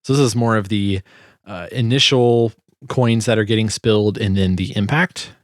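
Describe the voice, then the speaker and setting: A man giving a casual tutorial as a sound designer, informal and halting as he thinks aloud. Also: on the low side. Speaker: sound designer and video host.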